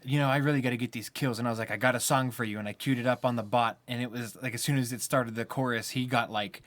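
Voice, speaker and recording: A man with a mid-pitched voice talks casually among friends on a podcast. His manner is playful and informal, like someone swapping stories around a table. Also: steady tone, fast talker, a bit bright. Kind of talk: casual group podcast chat.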